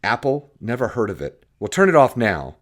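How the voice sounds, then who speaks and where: Man acting indignant, blustering chairman, swooping pitch. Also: big pitch swings, moderate pace. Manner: blustering, sputtering disbelief. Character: indignant, incredulous male meeting chairman. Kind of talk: audiobook character voice.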